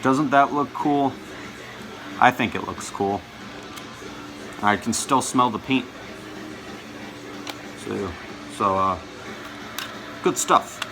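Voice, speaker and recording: A man's voice: medium pitch, slow, pauses often, music in the background, low-quality recording. A man vlogging in a casual chat to his viewers, rambling and often stumbling over his words and correcting himself. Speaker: vlogger showing his collection.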